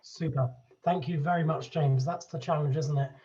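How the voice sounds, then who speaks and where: Mellow, flat-toned panel moderator. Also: medium pitch, normal speed, lo-fi sound. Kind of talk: unscripted event moderation.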